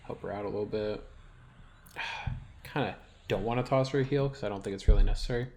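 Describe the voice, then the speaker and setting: A man's voice: on the low side, fairly quick, darkish tone, slight background noise. A man giving casual, rambling gameplay commentary as a video host, thinking aloud as he plays.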